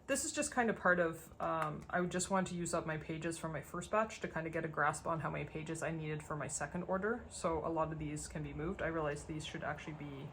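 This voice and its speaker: A woman with a slightly gravelly, dry voice giving a casual, unscripted tour of a collection, rambling as she thinks aloud. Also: low voice, fairly quick, few pauses, fairly bright tone, a little hiss or hum. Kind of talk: casual unscripted show-and-tell commentary.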